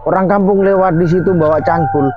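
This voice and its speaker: A man's voice: high voice, fairly even intonation, a little fast. A man hosting a night outing, earnestly telling a true story with emphatic, filler-heavy delivery outdoors at night. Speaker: host. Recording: lo-fi with faint music.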